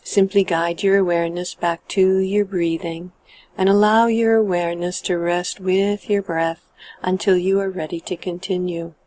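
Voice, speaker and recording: A woman guiding a meditation in a calm, measured and gentle manner, letting her sentence endings trail off. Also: on the low side. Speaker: meditation guide. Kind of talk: guided meditation narration.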